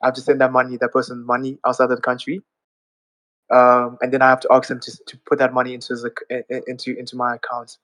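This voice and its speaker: A man talks in a steady, flat tone, earnestly walking through his points one at a time. His voice sounds dry and close, with little room around it, and sits in a middle register. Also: mid-range voice, fast, no room sound. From Zimbabwe. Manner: earnest, explaining step by step. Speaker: audience speaker. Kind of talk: casual live audio chat.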